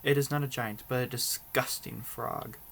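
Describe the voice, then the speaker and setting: A man's voice: medium pitch, steady tone, moderate pace, vocal fry, fairly bright tone. A man voicing a frightened, reluctant young princess in a storybook read-aloud. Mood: anxious.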